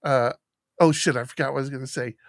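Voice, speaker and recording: A man in his fifties, co-hosting a podcast with a gravelly, mid-pitched voice that sounds dry and close. He tells stories with energy and slips into little voices when he quotes people. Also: fast, no echo. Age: 50s. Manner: animated storyteller, acts out quoted voices. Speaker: podcast co-host. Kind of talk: casual chat.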